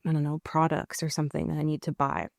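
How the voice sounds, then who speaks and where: A woman's voice: deep voice, level tone, quick pace, creaky, dry recording, studio quality. A woman co-hosting a podcast in casual chat, slangy and emphatic, with plenty of swearing. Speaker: podcast co-host.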